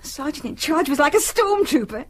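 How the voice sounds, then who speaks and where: A woman's voice: high voice, animated, fairly quick. A British actress playing an indignant, anxious wife and mother in a radio drama, distressed and emphatic. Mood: distraught. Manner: distressed, emphatic, formal when offended.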